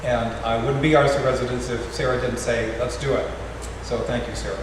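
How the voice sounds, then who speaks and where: A middle-aged American man, a parish artist in residence, reads a wry poem in a gentle, measured way with pauses at a farewell gathering. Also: mid-range voice, fairly even intonation. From United States. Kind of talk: poetry reading at a farewell gathering. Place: large echoey parish hall. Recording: echoey hall from far off, noisy.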